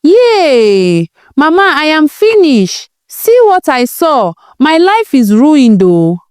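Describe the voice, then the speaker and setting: A woman's voice: high-pitched, sing-song, slow pace, no echo, clean studio sound, pitched above the performer's own voice, full character voice. A woman voicing a distraught, betrayed and defiant young wife in a told folktale. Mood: wailing despair.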